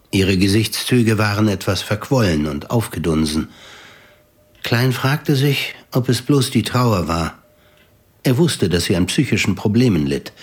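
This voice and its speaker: A man tells the story as narrator of a radio drama. His voice sits fairly low, and he reads the literary text in a measured, deliberate way. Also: breathy edge.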